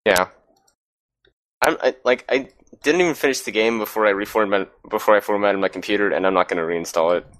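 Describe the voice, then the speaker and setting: A man giving measured, critical opinions in a casual gaming chat. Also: lowish voice, a bit bright.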